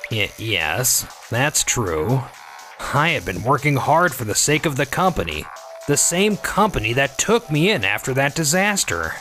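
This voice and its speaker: An actor playing a defensive, middle-aged company executive in disgrace, stammering in a manga dub. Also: male voice, medium pitch, big pitch swings, unhurried, bright, forceful tone, faint music behind.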